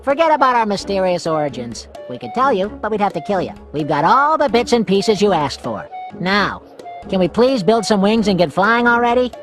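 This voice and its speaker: A man's voice: sits high, very animated, a little fast, fairly bright tone, faint music behind. A man voicing a cocky, wisecracking big brother creature in a cartoon video game, full of wry quips.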